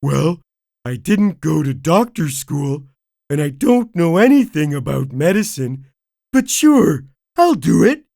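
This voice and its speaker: Woman voicing dim starfish buddy: raised pitch, booming, slow, dopey, dry read. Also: big pitch swings, vocal fry, mellow, dry recording, full character voice. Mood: dopey, loud. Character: dim-witted friendly male starfish, loud.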